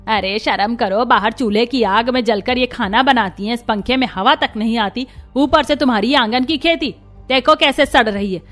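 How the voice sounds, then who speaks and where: High-voiced protective village mother-in-law played by a woman. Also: moderate pace, a bit bright, soft background music, close to an everyday voice. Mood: indignant. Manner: righteous, motherly, admonishing.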